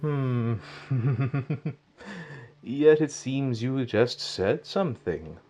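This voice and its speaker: Evil sorcerer, smug and mocking, theatrical, widely swooping intonation, unhurried, audio drama. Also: male voice, mid-range voice, expressive, mellow. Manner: taunting, theatrical, drawn-out delivery with sinister chuckles. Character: gloating, mocking wicked male sorcerer villain. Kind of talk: fan audio drama acting.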